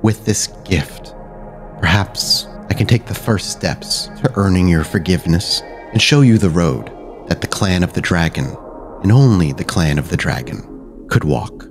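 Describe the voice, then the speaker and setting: Dead vampire father played by a male game master: very low, quiet, courtly, manipulative, formal, music underneath. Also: lively intonation. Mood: silky, coaxing. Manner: formal, measured, silkily persuasive.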